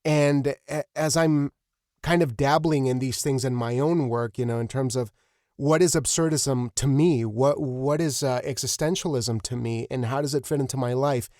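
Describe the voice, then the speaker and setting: A man hosting an interview in casual, reflective talk, effusive and earnest with halting phrasing. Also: medium pitch, darkish tone, dry recording, studio quality. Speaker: podcast host, interviewer.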